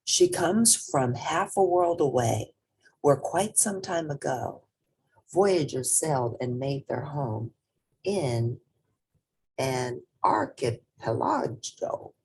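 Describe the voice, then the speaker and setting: Slow, low-voiced woman reading a storybook. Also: lively intonation, a little mellow, breathy edge. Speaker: reader of a children's picture book. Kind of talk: expressive storybook read-aloud.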